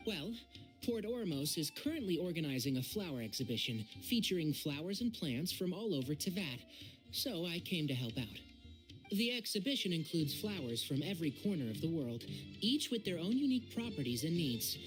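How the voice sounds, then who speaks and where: An actor playing a brisk, wry young male botanist in a video game, articulate and matter-of-fact. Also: on the high side, very animated, music in the background, poor audio. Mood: friendly, explaining.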